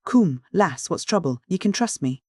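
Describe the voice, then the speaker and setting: A man voicing a rough, earnest shepherd with a husky Northern English dialect, blunt and stubborn. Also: on the high side, normal speed, darkish tone, no room sound, clean studio sound, natural voice. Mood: gentle. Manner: blunt, pleading, dialect-heavy.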